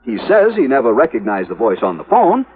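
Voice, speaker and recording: An actor playing a fussy, anxious insurance executive in a 1950s radio drama, pedantic and easily flustered. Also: male voice, mid-range voice, huge pitch swings, fairly quick, soft background music. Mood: worried. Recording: muffled vintage 1950s radio recording.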